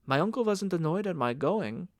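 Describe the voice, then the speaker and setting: A man voicing an earnest young nephew, lifted pitch. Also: expressive, moderate pace, dry recording, clean studio sound. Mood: earnest, puzzled. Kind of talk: audiobook character dialogue.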